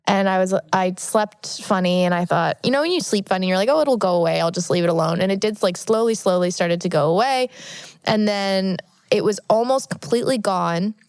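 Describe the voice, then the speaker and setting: Woman, podcast co-host, casual chat, slightly sniffly, animated storyteller, dry close sound. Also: mid-range voice, a little fast, clear, resonant tone, dry recording. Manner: chatty, animated storytelling with lots of "like". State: sniffly from allergies. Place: small podcast booth in a new office. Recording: dry, sound-dampened booth.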